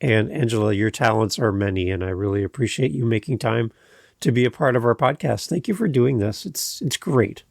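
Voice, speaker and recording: Effusive male podcast host, gravelly creak. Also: medium pitch, fairly quick, no room sound, clean studio sound. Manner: effusive, emphatic. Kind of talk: conversational interview hosting.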